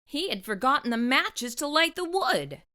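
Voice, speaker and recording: Woman, animated storybook narration, bright tone, medium pitch, expressive read-aloud for children. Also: normal speed.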